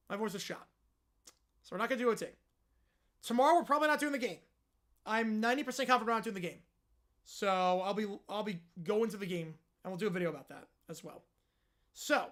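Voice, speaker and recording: A man hosting a live sports reaction stream, chatting casually and answering viewers, his voice hoarse and worn out. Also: sits high, pushed and bright. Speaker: livestream host.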